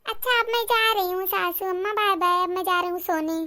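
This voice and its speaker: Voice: very high-pitched, a little fast, pushed and bright. A performer voicing a spoiled young daughter-in-law in a cartoon, sweet-talking, cheeky and self-assured. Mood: sugary defiant. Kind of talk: cartoon character dialogue.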